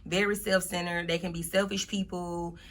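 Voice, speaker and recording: A woman's voice: fairly low pitch, flat delivery, normal speed, a bit bright. A woman of thirty-one, speaking as a motivational guest in a casual interview, emphatically repeating her points.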